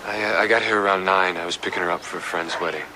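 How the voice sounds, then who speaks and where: A man playing a subdued, grieving fiancé in his late twenties, speaking haltingly in a crime audio drama. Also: sits low, fairly even intonation, background noise, close to an everyday voice. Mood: subdued, hesitant. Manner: halting, sighing.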